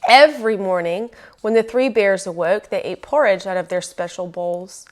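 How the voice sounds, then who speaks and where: A woman reading a children's storybook aloud as storyteller, expressive and emphatic, lingering on phrases. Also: mid-range voice, normal speed.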